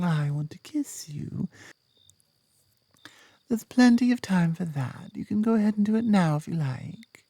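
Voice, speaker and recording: Casting: British woman as a devoted British werewolf girlfriend for intimate ASMR, unhurried and tender, whisper-prone, close and very dry sound. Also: fairly low pitch, no echo, full character voice. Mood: tender, wistful, then affectionate. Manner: soft, tender, often dropping into whispers. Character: devoted, doting adult female werewolf girlfriend. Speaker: ASMR roleplay performer. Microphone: close, dry microphone.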